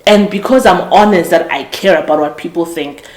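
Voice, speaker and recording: A woman's voice: fairly low pitch, fairly quick, darkish tone. Earnest woman in her twenties, echoey room. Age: 20s. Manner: earnest, reflective, with frequent restarts and self-corrections. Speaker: podcast host. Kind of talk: casual motivational monologue.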